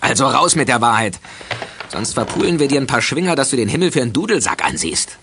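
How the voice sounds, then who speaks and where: An actor playing a bullying gangster henchman in a youth radio play, making loud, rapid threats. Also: mid-range voice, sing-song, noisy background. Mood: threatening.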